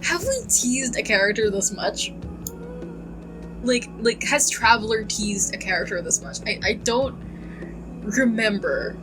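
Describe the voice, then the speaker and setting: A woman's voice: on the high side, expressive, a little slow, poor audio. A woman streaming a game, playful and casual, commenting and reading dialogue choices aloud. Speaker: gaming streamer. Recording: game music behind the voice.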